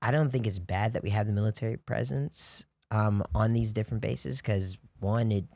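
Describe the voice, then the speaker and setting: Low mellow-voiced man telling stories. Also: normal speed, no echo. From grew up on US military bases in Japan. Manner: rambling storyteller, many restarts and "you know, like". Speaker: podcast guest. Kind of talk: casual chat.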